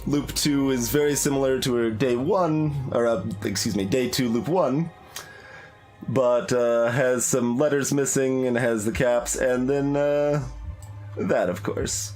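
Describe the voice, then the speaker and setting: A man with a slightly high-pitched voice talks through a game in a casual, analytical way. He thinks aloud, pausing and rephrasing as he works out his ideas, with prominent music playing behind him. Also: a little mellow. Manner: hesitant, thinking aloud, self-correcting.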